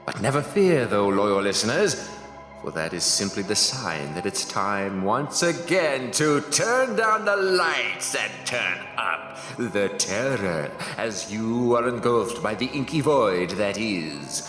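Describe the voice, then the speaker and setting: A man's voice: lowish voice, very animated, takes it slowly. A man playing a portentous, dramatic announcer narrating the intro of a horror radio theater. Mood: grandly menacing. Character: ominous deep-voiced announcer of a horror theater. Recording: echoey reverb with music bed.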